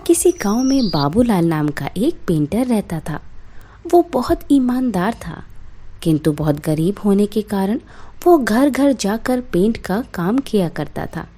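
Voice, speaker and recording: A woman's voice: mid-range voice, expressive, a little slow, mellow. A woman narrating a scripted moral tale as a storyteller for children.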